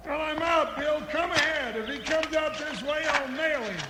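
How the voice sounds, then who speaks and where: An actor playing an excitable, folksy, talkative western sidekick in a 1950s radio drama. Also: male voice, very high voice, normal speed. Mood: excited. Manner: folksy drawl, yells when excited. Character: excitable, talkative old-west sidekick deputy, comic and loyal. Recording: hall reverb, faint hiss of old radio recording.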